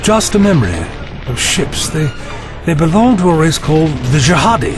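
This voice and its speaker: A man's voice: a little high, expressive, normal speed, music in the background, natural voice. A man playing a courteous, wry time-travelling eccentric with lost memory, speaking formally in an audio drama. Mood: thoughtful, puzzled. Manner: formal, wordy, inquisitive.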